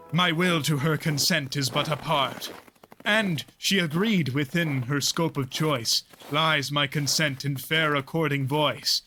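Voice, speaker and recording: A man playing a genial, stately old lord and father, measured and declamatory, in a Shakespearean audio play. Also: fairly high pitch. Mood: measured, protective.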